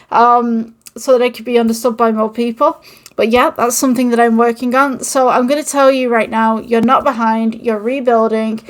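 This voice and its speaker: A woman from the United Kingdom with a mixed, Manchester-tinged northern English accent, talking casually to camera with many ums, recorded on an old microphone with a phone. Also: a little high. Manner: rambling and encouraging, many ums. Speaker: channel host. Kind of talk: casual podcast-style talk to camera.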